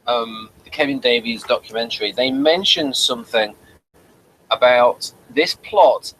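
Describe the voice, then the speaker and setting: A man's voice: medium pitch, a little slow, a bit bright, poor audio. A man hosting a casual review chat, commenting as he goes through pictures. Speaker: host of review show. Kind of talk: casual commentary over images. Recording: faint background noise.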